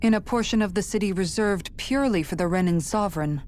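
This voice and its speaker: A woman voicing a forceful, serious young adventurer in a video game. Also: medium pitch, fast, a little hiss or hum, close to an everyday voice. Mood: tense, serious. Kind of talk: video game dramatic acting. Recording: game music under the voice.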